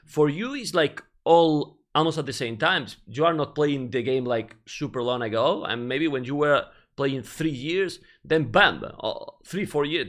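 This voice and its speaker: A man's voice: on the high side, fairly bright tone. A man with Spanish as his first language, hosting a casual interview over a video call, teasing and laughing often.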